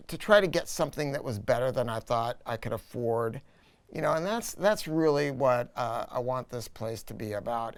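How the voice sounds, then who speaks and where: A man's voice: medium pitch, clean tone. A middle-aged American man giving a casual, unscripted product review as a dealer, blunt and informal, repeating key words for emphasis.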